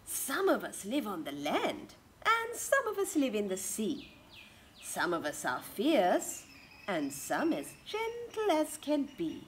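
Female children's storyteller, 30s, higher-pitched and very animated, outdoor read-aloud. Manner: expressive, addresses children with questions. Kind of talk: interactive picture-book read-aloud. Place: outdoors in a home garden. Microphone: camera a couple of metres away. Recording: outdoor ambient garden noise.

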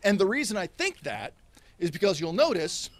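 A man in his thirties doing a deadpan stand-up comedy routine at a stand mic, gesturing as he talks. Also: sits high, normal speed. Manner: deadpan delivery with animated, sweeping hand gestures. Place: indoors, small set in front of a red curtain. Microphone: stand mic in front of him.

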